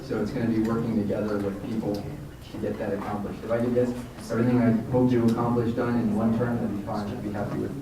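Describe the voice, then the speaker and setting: A young man running for Congress answers audience questions at a luncheon, earnest and halting, with many fillers. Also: on the low side, level tone. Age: young adult. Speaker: congressional candidate. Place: banquet room at a luncheon. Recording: echoey room, from far off, noisy.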